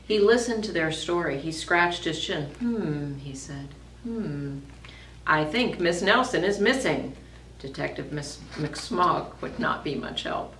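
Woman, lowish animated voice, storybook read-aloud, roomy sound. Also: slow. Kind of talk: expressive picture-book reading. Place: school office indoors. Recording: roomy, distant mic, faint noise.

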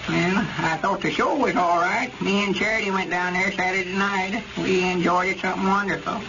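An actor playing a plain-spoken, grumbling old country storekeeper in rural dialect, in 1930s radio comedy style. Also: male voice, high-pitched, fairly even intonation, brisk, vocal fry. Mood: chatty. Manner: folksy rural dialect, slightly stammering. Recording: muffled, noisy old radio recording.

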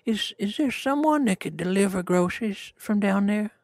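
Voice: mid-range voice, expressive, moderate pace, darkish tone, dry recording, clean studio sound, voice pushed up, natural voice. A performer putting on a frail, pleading, persistent elderly caller for a prank phone call. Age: older.

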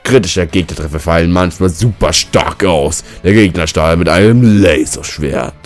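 A young man with a slightly hoarse, cold-worn voice, commentating a fighting game and ranting with lots of swearing. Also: low voice, moderate pace. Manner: swears a lot, rants and shouts at the game. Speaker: let's player. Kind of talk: gaming commentary. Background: game music.

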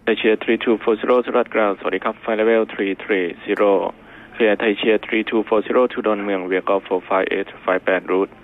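A man's voice: on the low side, fairly even intonation, rapid-fire. A native Thai-speaking man with a Thai accent issuing clipped air traffic control instructions over radio. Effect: aviation radio. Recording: tinny, slightly noisy tower radio.

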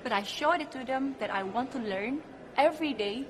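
A woman's voice: high voice, expressive, normal speed. A woman in her twenties with an Indonesian accent, giving earnest, emphatic interview answers in non-native English as a pageant contestant. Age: 20s. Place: indoor press backdrop at a pageant event. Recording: clearly noisy room ambience.

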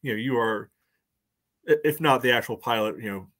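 A man's voice: medium pitch, brisk, a bit bright. A man in his thirties trading casual sports banter as a co-host on a video call from home. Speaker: soccer podcast co-host. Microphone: video call microphone.

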